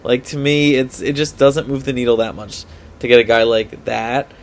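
A man's voice: medium pitch, moderate pace. Man debating sports, hedging and emphatic. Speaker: sports podcast co-host.

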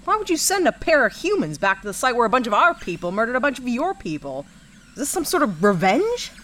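A woman's voice: a little high, very animated, fairly quick, fairly bright tone, slight background noise. A woman playing a sceptical human detective and space adventurer in a sci-fi audio drama, dry, sarcastic and matter-of-fact.

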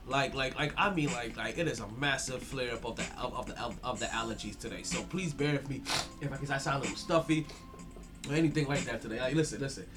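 A man's voice: medium pitch, fast talker, over music. A man hosting a sports podcast in a hyped, slangy way, a bit stuffy-nosed from allergies and repeating his words. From South Florida. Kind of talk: casual sports talk.

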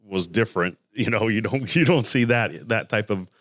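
A man hosting a casual interview podcast, relaxed and admiring as he reacts to his guest. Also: lowish voice, normal speed, no echo, clean studio sound. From Arizona. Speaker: podcast host.

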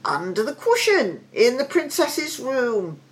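A woman's voice: high voice, very animated, slow, pitched above the performer's own voice, full character voice. A woman voicing a scheming old magician posing as a pedlar in a storybook reading, sly and drawn out.

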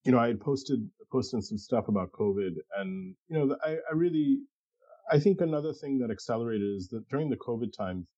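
A middle-aged man, a pathologist, talking reflectively and casually as an interview guest, haltingly repeating words as he thinks aloud. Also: mid-range voice, expressive, mellow, husky, no room sound, muffled recording.

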